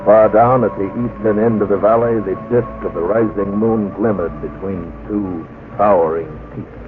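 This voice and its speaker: An actor playing a weary, sardonic adventurer who narrates an expedition in an old-time radio drama, with a dry delivery. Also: male voice, fairly low pitch, steady tone, moderate pace, natural voice. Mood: grave, suspenseful. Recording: muffled old radio recording, music behind.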